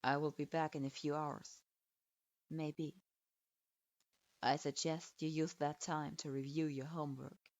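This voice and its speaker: A woman voicing a cold, stern adult male ninja teacher in a fanfiction reading, speaking in a clipped, flat, unsympathetic way. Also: sits low, a little slow, pauses often, dry recording, pitched below the performer's own voice. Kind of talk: audiobook character voice. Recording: dull dry lo-fi recording.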